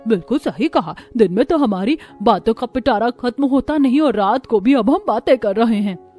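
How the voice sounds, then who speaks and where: A woman's voice: a little high, lively intonation, normal speed, mellow, faint music behind. A woman playing an anxious, agreeable village housewife in a cartoon story, chatty and earnest. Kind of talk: Hindi cartoon story acting.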